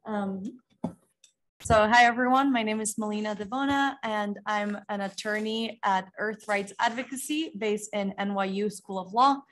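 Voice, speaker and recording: A woman's voice: mid-range voice, moderate pace, a bit bright. A young woman, an attorney, introducing herself on a panel in a conference room in a friendly, light way. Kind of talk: self-introduction.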